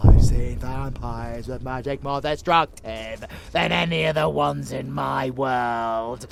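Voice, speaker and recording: Slow, gravelly, sadistic vampire monster. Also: male voice, medium pitch, full character voice. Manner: menacing, foul-mouthed, savoring words slowly. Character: sadistic, gloating male vampire monster who relishes slaughter. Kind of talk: monster audio roleplay, theatrical monologue.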